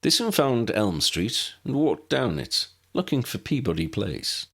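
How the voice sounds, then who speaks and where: A man's voice: medium pitch, big pitch swings, a little slow, a bit bright, husky. A man reading a scripted story aloud as an audiobook narrator.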